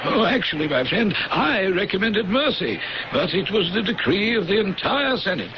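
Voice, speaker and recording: A man's voice: high voice, sing-song, moderate pace. An actor playing a complacent, patronizing Roman senator in a radio drama, fussing and repeating his words. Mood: smugly dismissive. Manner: fussy, repeats words, condescending. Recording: muffled, noisy old radio broadcast.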